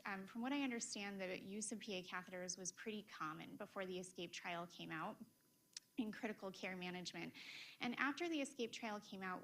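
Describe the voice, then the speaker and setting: A woman cardiologist giving a medical lecture in a hall, speaking softly and explaining step by step while asking the audience questions. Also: on the low side. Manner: soft-spoken, explanatory, asks the audience questions.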